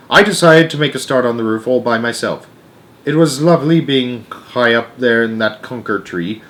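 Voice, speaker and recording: A man narrating a children's novel aloud in an expressive storytelling style. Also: medium pitch.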